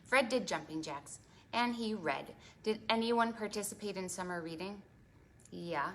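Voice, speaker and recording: A woman hosting an interactive storytime for preschoolers, talking in an animated, exaggerated way to small children and asking them lots of questions. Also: medium pitch, unhurried, a little hiss or hum. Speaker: children's librarian and storytime host.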